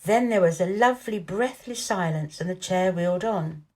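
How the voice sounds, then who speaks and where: A woman storyteller giving an expressive scripted narration of a children's novel. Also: fairly low pitch, moderate pace.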